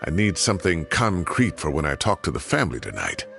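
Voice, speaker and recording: A gravelly, huffy old professor. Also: male voice, very deep voice, wildly swooping pitch, brisk, exaggerated voice. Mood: indignant. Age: older. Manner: grumbling, theatrical and huffy. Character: fussy retired male professor, older, indignant. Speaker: retired professor. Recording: game audio with faint music.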